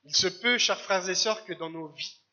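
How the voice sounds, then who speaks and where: A man from Algeria preaching a church sermon in short phrases, pausing for an interpreter. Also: high voice, sharp tone, highs cut off. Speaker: guest preacher. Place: church service.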